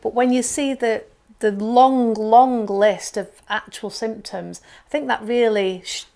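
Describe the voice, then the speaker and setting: A woman's voice: fairly high pitch, steady tone, unhurried, creaky, warm tone. A woman in her fifties, co-author and workshop host, chatting casually on a live stream and freely agreeing with her co-host. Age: 50s.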